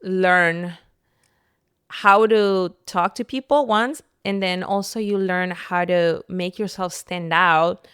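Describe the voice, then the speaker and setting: Smooth-voiced woman, lower pitched. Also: takes it slowly, a bit bright, no room sound, clean studio sound. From Peru. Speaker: podcast guest, entrepreneur and content creator. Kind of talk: personal storytelling in casual conversation.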